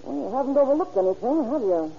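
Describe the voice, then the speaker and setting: Through a muffled old radio recording comes a brash, wisecracking film star. Her voice is high yet very dark, with wide, swinging inflection. She is slangy and unflappable, in the manner of period crime drama. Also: very animated, fast talker, husky, full character voice. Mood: coolly defiant. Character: brash, sultry, unflappable Hollywood actress, robbery victim. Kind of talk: 1930s-40s radio crime drama acting.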